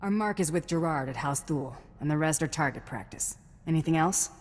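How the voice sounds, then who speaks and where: An actress playing a blunt, sardonic woman bounty hunter in a video game, terse and deadpan. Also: low voice, brisk, long reverb tail. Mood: cool, sardonic. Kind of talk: scripted video game dialogue.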